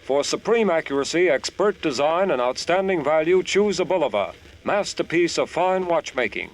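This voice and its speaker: Formal high-voiced male radio announcer. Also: lively intonation, rough recording. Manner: formal, deliberate spelling-out. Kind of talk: 1940s radio spot announcing.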